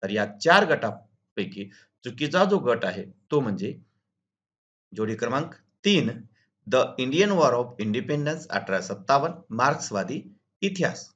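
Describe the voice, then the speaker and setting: A man's voice: mid-range voice, very animated, a little slow, fairly bright tone. Muffled lo-fi audio, man, teacher's exam walkthrough, formal, methodical, very varied intonation. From Maharashtra, India. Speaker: school teacher and video channel host. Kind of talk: instructional exam walkthrough, reading questions and answers. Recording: dull lo-fi recording.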